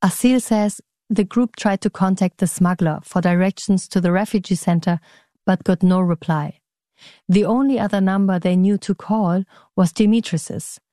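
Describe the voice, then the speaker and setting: A woman reporter narrating a news story in a measured, calm way. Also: medium pitch, no echo, clean studio sound.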